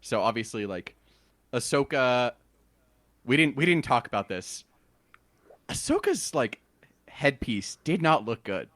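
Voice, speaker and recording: Guy cohosting a podcast, really animated voice, laughing a lot, dead dry sound. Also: medium pitch, measured pace, long pauses, dry recording. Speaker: podcast co-host. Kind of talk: casual chat.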